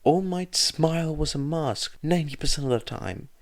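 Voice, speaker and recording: A man voicing an anguished, furious teenage boy hero student who shouts bitter, sarcastic lines. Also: on the high side, animated, moderate pace, vocal fry, dry recording, clean studio sound, pitched above the performer's own voice.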